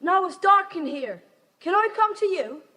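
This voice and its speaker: Very high-voiced plucky child. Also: female voice, lively intonation, moderate pace, sharp tone, exaggerated voice. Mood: anxious. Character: plucky young local child guiding friends. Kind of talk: children's audio play acting. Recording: long reverb, some noise.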